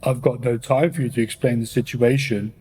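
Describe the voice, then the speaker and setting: A man's voice: mid-range voice, steady tone, a little fast, warm tone, slight background noise, natural voice. A man playing a smug, dismissive tech billionaire bro in a radio play. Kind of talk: radio play comic acting.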